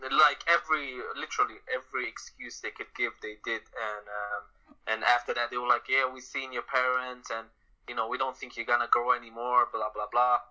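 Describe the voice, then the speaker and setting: A man's voice: mid-range voice, fairly even intonation, a little fast, pushed and bright. A man from Sweden, a guest on a podcast, talking casually over a phone call with many hesitations. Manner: hesitant, lots of uhs and restarts. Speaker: podcast guest, professional soccer player. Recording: muffled phone line.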